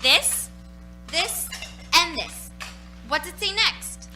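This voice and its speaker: An actress playing a loud, bossy, excitable little girl in a radio play, emphatic and impatient. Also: very high voice, drawn-out words, music in the background, exaggerated voice. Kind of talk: live radio play acting.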